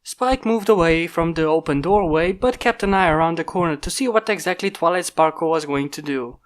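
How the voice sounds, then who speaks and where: A man reading a fan story aloud in steady, plain narration. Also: on the high side, a little fast. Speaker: fanfic reader. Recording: mic pops from a failing microphone.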